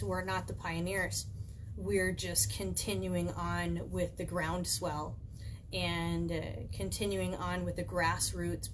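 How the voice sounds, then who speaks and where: A woman author reading her own book aloud at home in an informal, conversational way. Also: fairly low pitch. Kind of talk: reading aloud with casual chatty asides. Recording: clear background noise, home recording.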